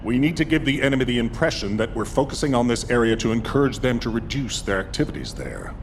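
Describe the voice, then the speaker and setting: An actor playing a stern military commander in a video game, dry and authoritative. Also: male voice, very animated, quick pace, vocal fry. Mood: commanding. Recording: noisy rumble behind.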